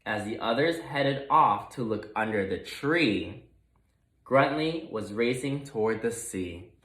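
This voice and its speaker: A man's voice: medium pitch, expressive, slow, a bit bright. A man narrating a children's picture book expressively as a read-aloud storyteller, in a roomy recording.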